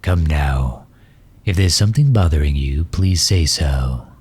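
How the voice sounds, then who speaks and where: Casting: male actor as courtly villain for ASMR roleplay, solicitous and tender, very dark mellow husky bass, unhurried delivery. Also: expressive, heavily acted. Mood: gentle concern. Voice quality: husky, breathy low voice. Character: doting megalomaniac villain, formal, gently tending injured nemesis. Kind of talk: ASMR roleplay, intimate acting.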